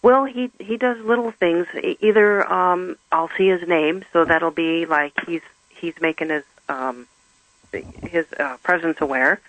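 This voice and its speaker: A middle-aged woman whose first language is German, speaking earnestly and haltingly as an interview guest. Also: fairly low pitch, a bit bright, no room sound, poor audio. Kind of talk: personal testimony in conversation.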